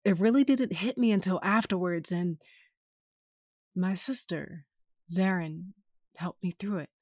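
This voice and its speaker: Actress as a slow-talking, wry veteran aunt. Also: female voice, lowish voice, darkish tone, dry recording. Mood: reflective. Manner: laid-back, dry-humored. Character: wry, blunt aunt and battle-hardened war veteran. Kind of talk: audio drama dialogue.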